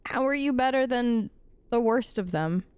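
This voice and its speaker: A woman's voice: a little high, lively intonation, normal speed, clean tone, no room sound. A woman doing casual role-play commentary for a video game, wry and conversational, on a close microphone. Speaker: let's play host.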